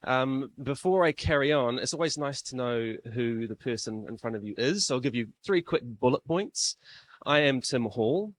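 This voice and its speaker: A man's voice: mid-range voice, animated, moderate pace. Chatty New Zealand man emceeing. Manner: chatty, self-deprecating, with restarts. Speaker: event MC. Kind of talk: live event emceeing. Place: classroom-style event room.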